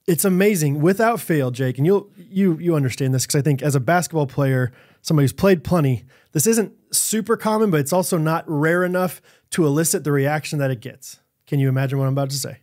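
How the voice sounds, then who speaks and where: A man's voice: on the high side, darkish tone. A man in his thirties co-hosting a podcast, telling rambling stories with frequent restarts, recorded on a studio mic. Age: 30s. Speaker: podcast co-host. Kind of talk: casual chat. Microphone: studio mic on boom arm, headphones.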